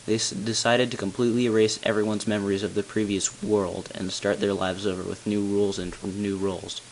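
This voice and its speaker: A man playing a tall, solemn bell ringer who reveals long-kept secrets in grave, deliberate narration for a game. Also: fairly low pitch, flat delivery, close to an everyday voice. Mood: solemn, explanatory. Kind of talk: scripted character narration, amateur game voice-over. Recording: hissy, noisy amateur home recording.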